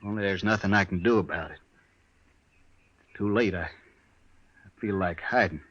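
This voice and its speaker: An actor playing a guilt-ridden, humble townsman in a 1950s radio western, with halting, folksy, rustic speech. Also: deep voice, a bit bright, muffled recording. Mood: ashamed.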